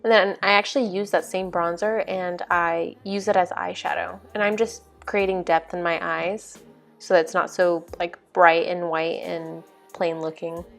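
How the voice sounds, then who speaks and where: A woman's voice: on the low side, fairly even intonation, moderate pace, vocal fry. A young woman casually narrating her makeup routine in an informal, chatty way. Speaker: vlog host. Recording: music behind the voice. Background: music.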